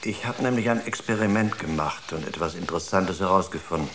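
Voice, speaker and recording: An earnest space-crew psychologist in a radio drama, played by a man. His voice sits low, and he explains himself in a searching, natural way. Background noise is clearly audible. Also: a little fast, close to an everyday voice. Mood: earnest, explaining. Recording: noisy radio drama recording.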